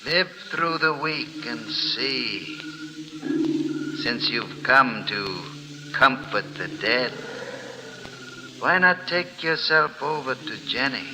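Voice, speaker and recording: An actor playing the mocking, ominous ghost of a dead railway engineer in a 1940s-style radio mystery. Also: male voice, mid-range voice, expressive, slow pace, pauses often. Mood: mocking, commanding. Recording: reverberant, noisy old radio recording.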